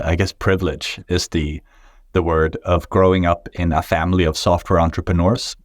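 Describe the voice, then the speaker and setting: Deep-voiced Swedish man, dry sound. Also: dry recording. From Sweden. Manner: thoughtful, with frequent uh fillers and restarts. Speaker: guest, tech startup founder. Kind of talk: podcast interview answers.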